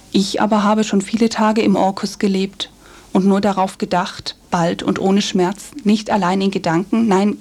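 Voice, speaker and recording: A woman playing a melancholy young poet of the 1800s, reading letters gravely and formally for a radio feature. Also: mid-range voice, darkish tone, natural voice.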